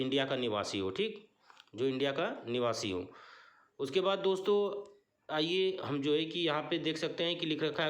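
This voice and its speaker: A man with an Indian accent explains written notices informally as an online guide, with repeated check-ins and halting reading of English. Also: mid-range voice. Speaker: online job-form guide host.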